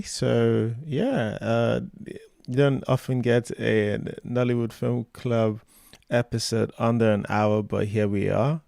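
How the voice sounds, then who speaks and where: A man hosting a film podcast, croaky while getting over an illness, talking casually with lots of ums. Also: mid-range voice, no echo, clean studio sound. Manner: relaxed, rambling with many fillers. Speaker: podcast host.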